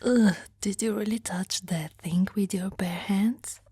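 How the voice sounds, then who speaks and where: A reluctant, grumbling giantess, acted by a woman in an ASMR roleplay. Her voice is soft-edged and dark, with plenty of breath, delivered in a dry, unimpressed manner. The sound is close, with no room echo. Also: mid-range voice, unhurried, slightly rough. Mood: disgusted, dismissive.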